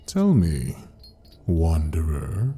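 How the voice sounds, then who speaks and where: A man's voice: extremely low pitch, huge pitch swings, very slow, dark tone, heavily acted. A man playing the ancient, clawed lord of Hell in a fantasy audio roleplay, mocking and condescending, savoring his words theatrically. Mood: mocking, imperious.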